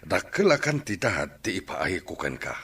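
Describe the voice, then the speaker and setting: A man's voice: deep voice, very animated, moderate pace, a bit bright, husky, full character voice. A man playing an insistent, imploring father of an ailing daughter in an Ilocano radio drama.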